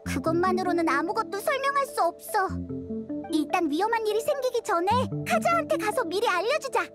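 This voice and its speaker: A woman voicing a tiny, cheeky, childlike fairy companion in a video game, expressive and quick to exclaim. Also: very high voice. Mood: curious, chatty. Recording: loud music over the voice.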